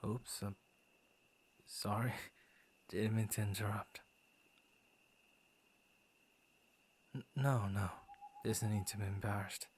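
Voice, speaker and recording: A man's voice: fairly low pitch, steady tone, measured pace, a little hiss or hum, natural voice. A man voicing a friendly, bashful werewolf who guards his forest, stammering and playfully theatrical, in an ASMR boyfriend roleplay. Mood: sheepish, apologetic.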